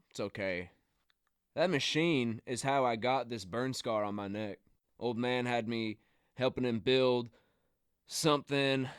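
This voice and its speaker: An actor playing a weary, guarded rodeo rider, in halting, plain-spoken radio drama style. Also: male voice, mid-range voice, a bit bright, smooth, no echo, clean studio sound, lightly acted. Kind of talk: naturalistic radio drama acting.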